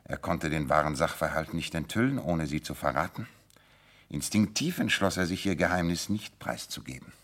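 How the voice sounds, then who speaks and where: Commanding detective for radio drama: low, richly inflected voice, assured and emphatic. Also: male voice, lively intonation, normal speed, fairly bright tone, exaggerated voice. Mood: vivid recounting. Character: self-assured male detective, shrewd, commanding. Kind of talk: German radio drama acting.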